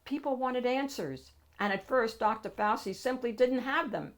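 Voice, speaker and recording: A woman's voice: fairly high pitch, animated, moderate pace, fairly bright tone. Woman reading a children's picture book aloud. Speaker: storybook reader, read-aloud host. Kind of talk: expressive scripted children's picture book narration.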